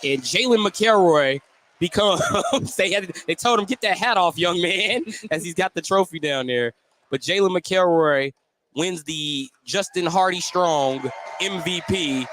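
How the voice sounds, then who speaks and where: Guy doing live sports radio commentary, pretty high voice, bit of gym noise behind him. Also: a little slow, a bit bright. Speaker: play-by-play radio sports announcer, host. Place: indoor school gymnasium. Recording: some background noise of a gymnasium.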